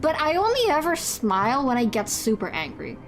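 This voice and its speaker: A young adult non-binary streamer with a higher-pitched voice chats casually during a live reaction stream. They stammer through restarts, laugh often and swear freely. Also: huge pitch swings, normal speed.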